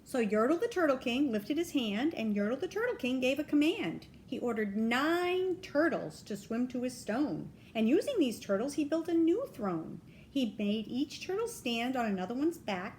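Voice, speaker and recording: A woman reading a children's storybook aloud as a grandmother, expressively, pausing to ask the young listener simple questions. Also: sits high, sing-song, a little mellow, slight background noise.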